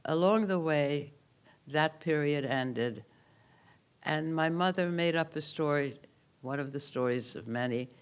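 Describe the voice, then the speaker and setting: A man in his eighties with a Hungarian accent, giving a personal testimony speech at a memorial ceremony, telling his story calmly with dry understatement. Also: a little high, measured pace, clean tone. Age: 80s. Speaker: Holocaust survivor giving a keynote.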